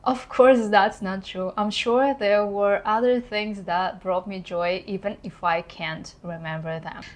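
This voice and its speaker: A woman's voice: mid-range voice, steady tone, slow. A woman in her thirties from Kazakhstan with a Kazakh accent, speaking English as a second language in an earnest, reflective personal vlog. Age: 30s. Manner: earnest, reflective, occasionally laughing. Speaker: vlogger.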